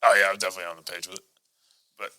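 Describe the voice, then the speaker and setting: A man's voice: low voice, level tone, rapid-fire, bright, forceful tone. An American man in his thirties hosting a podcast in a casual, enthusiastic way. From United States. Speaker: podcast host.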